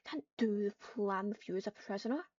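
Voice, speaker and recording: A woman's voice: mid-range voice, brisk, a little mellow, no room sound. A woman narrating an improvised roleplay story in third person, speaking the dialogue and tags herself and repeating phrases as she composes them. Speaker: online roleplayer. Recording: muffled lo-fi mic, noisy with typing clicks. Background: keyboard typing and clicks.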